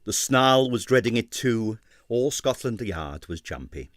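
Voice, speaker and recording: A man reading an abridged novel aloud with expressive narration. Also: medium pitch, measured pace, dry recording, studio quality. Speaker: audiobook reader.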